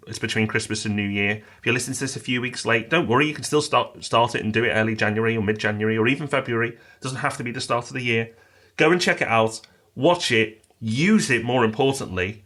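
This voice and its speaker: A man's voice: lowish voice, a bit bright. A British man co-hosting a podcast, chatting upbeat and reading listener mail aloud. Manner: upbeat, promotional, reads a listener review aloud. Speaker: podcast co-host. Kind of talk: casual podcast chat.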